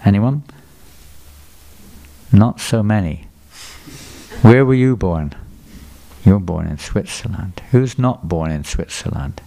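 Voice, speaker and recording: An older man gives a temple sermon in a loose, conversational way. He puts questions to the crowd and repeats what they call back. His voice sits low. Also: lively intonation, slow, creaky, long pauses, warm tone. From England. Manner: conversational, questions the audience and repeats their answers. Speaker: visiting speaker at a temple. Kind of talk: sermon lecture. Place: temple hall before a live audience.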